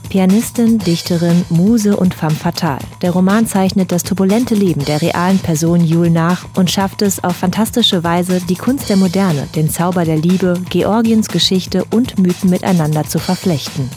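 Composed female radio presenter, lower voice. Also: moderate pace, few pauses, faint music behind. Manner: even, composed announcing. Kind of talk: scripted radio narration.

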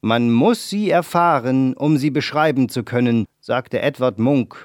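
A man reading a novel excerpt aloud with theatrical swings between loud bursts and hushed lines, then reviewing the book for the radio. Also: medium pitch, measured pace, dry recording, studio quality.

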